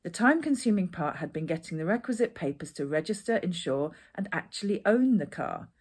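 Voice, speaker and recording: A woman's voice: on the low side, very animated, fairly quick, darkish tone, slightly rough. A woman reading a personal narrative aloud as its author. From Edinburgh, Scotland. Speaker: author reading their own essay.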